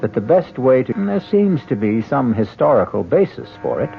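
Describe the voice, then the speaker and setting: Muffled old radio, male host, low voice, music behind, measured narration. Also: very animated, creaky. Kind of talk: 1970s scripted radio narration.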